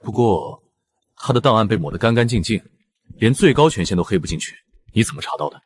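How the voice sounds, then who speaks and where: A man's voice: on the low side, big pitch swings, brisk, slightly rough. A man playing an agitated, sceptical young associate in a Chinese drama. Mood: sceptical, incredulous. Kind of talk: Chinese audio drama dialogue.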